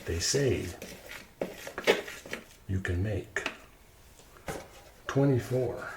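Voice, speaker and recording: A man's voice: on the low side, animated, extremely slow, heavy background noise. A man casually narrating ASMR cooking, chatting playfully to himself as he works. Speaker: host of an ASMR cooking video. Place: indoors in a kitchen. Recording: roomy echo, loud kitchen clatter and clicks. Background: clicks, dishes, cutlery and pans.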